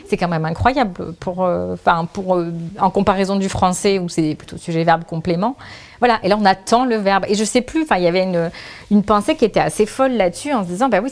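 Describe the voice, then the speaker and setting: A woman author answering interview questions, thinking aloud with many restarts, fillers and trailing phrases. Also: mid-range voice. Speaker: author, guest. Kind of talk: casual spoken interview answers.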